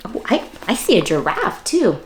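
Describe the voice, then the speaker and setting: A woman's voice: mid-range voice, big pitch swings, moderate pace, a little hiss or hum. A woman hosting an interactive read-aloud for young children, speaking directly to them with questions and exaggerated wonder. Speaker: children's story-time host and reader.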